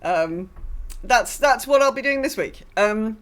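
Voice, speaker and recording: A woman's voice: fairly high pitch, animated, a little slow. A woman chatting casually as a podcast co-host. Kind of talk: casual chat.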